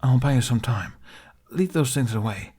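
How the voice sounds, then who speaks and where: A man voicing a protective young man who talks in firm, hushed reassurance in an audiobook fan reading. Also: medium pitch, lively intonation, normal speed, husky. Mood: reassuring.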